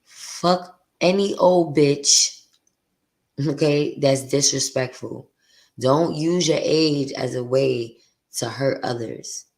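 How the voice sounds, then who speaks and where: A woman with a deep voice hosting her podcast, venting bluntly and profanely in a candid, confessional monologue. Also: slow, darkish tone. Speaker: podcast host.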